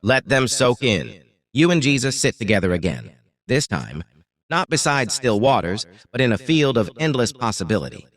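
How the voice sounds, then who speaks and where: Man, guided meditation narrator, soothing, deliberate, short paused phrases, highly varied intonation. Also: sing-song.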